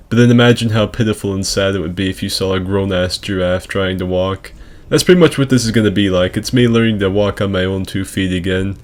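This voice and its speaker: A man with a fairly low voice hosts gaming commentary in a casual, comedic register. His delivery is crude and sarcastic, full of exaggeration. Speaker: gaming video host.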